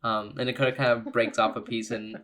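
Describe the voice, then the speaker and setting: A man's voice: mid-range voice, level tone, brisk, sharp tone. A man playing in a tabletop game podcast, chatting casually and reacting in an informal, sweary way. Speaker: player in an actual-play podcast. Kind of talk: casual improvised table chat.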